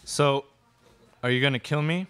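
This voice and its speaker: A man's voice: medium pitch, normal speed, a bit bright, clean tone, lightly acted. A man playing a nervous, hapless small-time bank robber in a Western radio play, hesitant and defensive. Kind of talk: student live radio play read from script.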